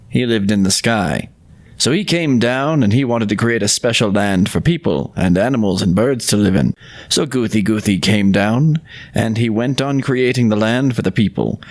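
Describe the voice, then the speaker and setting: A man co-hosting a podcast, discussing and narrating folk tales in an earnest, unhurried way. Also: fairly low pitch. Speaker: podcast co-host and storyteller.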